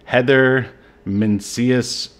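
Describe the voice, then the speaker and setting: A man's voice: mid-range voice, fairly even intonation, extremely slow. A man co-hosting a podcast in casual chat, laid-back and hedging with frequent fillers. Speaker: podcast co-host.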